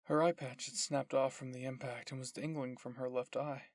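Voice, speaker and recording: A narrator reads a dramatic scripted story. The pitch sits in the middle. The sound is dry and close, with hardly any room to it. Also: fairly even intonation, moderate pace, dry recording. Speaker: narrator and voice of all characters.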